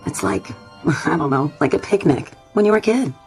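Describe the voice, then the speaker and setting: An actress playing a gentle, polite, friendly young mother from a small town in a radio play. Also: low-pitched, very animated, fairly quick, soft background music. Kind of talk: dramatic radio play acting.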